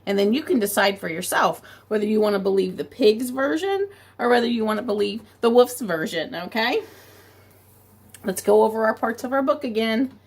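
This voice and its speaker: A woman, a preschool teacher, reading a picture book aloud to children, talking gently and asking them questions, with a gravelly, husky voice. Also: on the high side, lively intonation, moderate pace.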